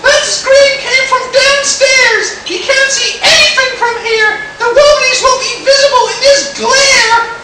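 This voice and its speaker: Woman as terrified pulp sci-fi heroine, extremely high, slow wailing delivery; distant, noisy live recording. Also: fairly even intonation. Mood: alarmed. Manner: melodramatic, wailing exclamations. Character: frightened, shrieking woman in pulp moon story. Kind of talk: hammy live radio play acting. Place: convention hall stage. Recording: echoey hall, far from mic, loud noise.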